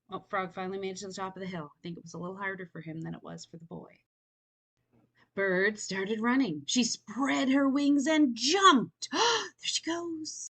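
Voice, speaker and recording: A woman reads a picture book to young children at a leisurely pace. Her pitch moves around a lot as she tells the story. She speaks invitingly and wonders aloud along with her listeners. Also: fairly bright tone.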